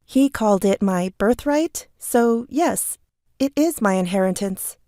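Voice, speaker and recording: A woman's voice: medium pitch, expressive, a little slow, warm tone, no room sound, clean studio sound, lightly acted. A woman acting a guarded, irritable magician who gives evasive, clipped answers in an audio drama. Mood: guarded, irritated.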